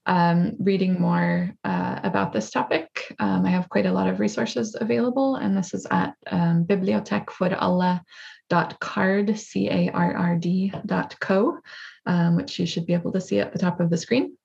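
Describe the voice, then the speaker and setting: A woman, a native English speaker, explaining her survey research as a guest on a video call in a thoughtful, steady way. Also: on the low side, normal speed, vocal fry, breathy edge, muffled recording.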